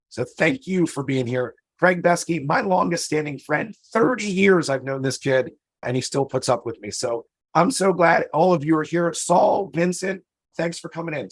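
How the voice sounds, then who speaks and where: A man's voice: fairly high pitch, slightly rough, no echo. A man hosting a podcast, upbeat and motivational, talking straight to his listeners while losing his voice. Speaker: podcast host. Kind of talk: energetic show opening.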